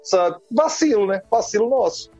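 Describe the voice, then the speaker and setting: A man from Goiás giving animated, digressive commentary on a radio talk show, often tagging lines with questions. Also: high-pitched, moderate pace, husky, no echo, highs cut off, faint music behind. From Goiás, Brazil.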